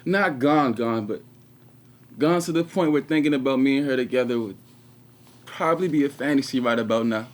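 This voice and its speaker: An actor playing an anguished, regretful 21-year-old prisoner in a confessional stage monologue with dramatic pauses. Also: male voice, medium pitch, close to an everyday voice. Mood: mournful. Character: anguished 21-year-old male prisoner, former track star, bitter and regretful.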